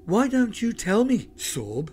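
A plucky girl played by a man speaks up high and bright with defiance, cheeky and unafraid as a storybook heroine. The pitch is lifted well above a normal male voice, with faint noise behind it. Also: sing-song, takes it slowly, full character voice. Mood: cheeky confidence.

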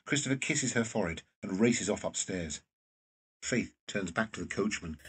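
Lowish, expressive male narrator. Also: moderate pace, slightly rough. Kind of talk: scripted audio drama narration.

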